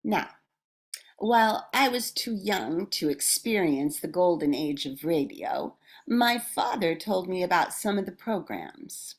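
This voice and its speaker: A woman's voice: on the low side, expressive, a little slow. An older woman reading a scripted personal reminiscence as a member of a radio show cast.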